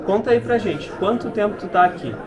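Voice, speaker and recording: A man's voice: sits high, animated, a little fast, over music. A man hosting a travel video, asking a guest questions in casual chat inside a pub. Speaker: host, travel vlogger. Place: busy pub, indoors. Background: chatter of a pub crowd.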